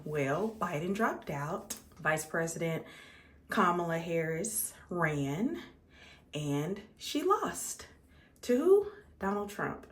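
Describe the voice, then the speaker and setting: A 35-year-old American woman chatting casually and conversationally to her viewers as a makeup vlogger, repeating words for emphasis. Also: deep voice. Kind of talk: casual chat to viewers while doing makeup.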